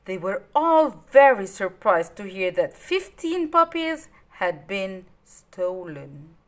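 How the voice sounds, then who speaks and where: A woman storyteller reading aloud to children in an expressive, simply worded way with dramatic emphasis. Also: medium pitch, slow pace.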